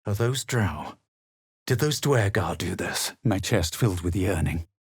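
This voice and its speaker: An actor playing a self-assured, superior young male adventurer in a video game, speaking in a measured, aloof, dramatic way. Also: sits low, lively intonation, breathy edge, no echo, studio quality, heavily acted. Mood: measured, grave. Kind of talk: video game character lines and storytelling narration.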